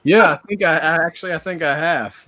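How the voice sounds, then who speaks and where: Bright-voiced man joining a call. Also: a little high, a little fast. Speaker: panelist. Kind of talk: casual chat.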